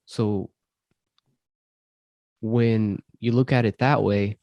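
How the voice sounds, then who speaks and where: A man from Syria, host of a self-improvement podcast, answering interview questions in a thoughtful, measured way with frequent ums. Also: lowish voice, fairly even intonation, dry recording, lo-fi sound. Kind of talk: reflective conversational interview.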